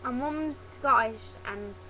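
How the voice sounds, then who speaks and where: A woman's voice: fairly high pitch, slow, pushed and bright, a little hiss or hum, low-quality recording. Chatty young Yorkshire woman on a webcam. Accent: Yorkshire, softened toward standard British English. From Yorkshire, England. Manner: chatty, demonstrates dialect words, laughs at herself. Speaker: vlogger. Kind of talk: casual webcam chat. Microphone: webcam mic.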